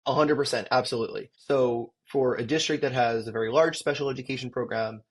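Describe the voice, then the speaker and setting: A man's voice: mid-range voice, brisk, slightly rough. A man who runs an education tech company, answering interview questions in an articulate, explanatory way with occasional ums.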